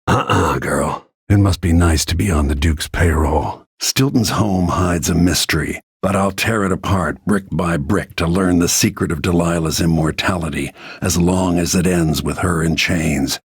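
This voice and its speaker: An actor playing a grim, vengeful middle-aged assassin in a video game, brooding in a gravelly, husky voice with low-key menace. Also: male voice, moderate pace, no echo, studio quality, heavily acted. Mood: grim, determined. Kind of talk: dramatic game voice lines, brooding inner monologue.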